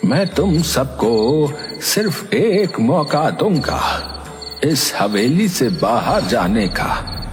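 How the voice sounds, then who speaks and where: A solemn cartoon guru acted by a man who banishes spirits. He declaims slowly and emphatically with big swings of pitch, in long reverb over music. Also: very animated. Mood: stern, commanding. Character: grave, commanding male guru exorcist, reassuring and solemn.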